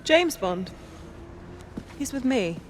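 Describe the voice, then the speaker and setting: Lively, brisk female agent. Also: sits high, a little hiss or hum, heavily acted. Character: brisk, assured female agent escorting a recruit. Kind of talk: cinematic game acting. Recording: faint room ambience.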